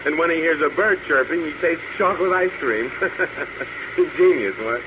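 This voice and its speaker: A man's voice: a little high, a little slow, lightly acted. An actor playing a smug, crooked space policeman who taunts with mock friendliness in an old-time radio drama. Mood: amused, gloating. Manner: taunting, mock-friendly, savors his words. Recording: muffled noisy old radio recording.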